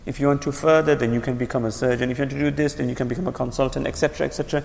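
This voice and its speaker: Gravelly-voiced man teaching a religious lecture. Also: medium pitch, fairly even intonation, racing, darkish tone, long reverb tail. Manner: explanatory, asks and answers his own questions, repeats key phrases. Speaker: Islamic teacher.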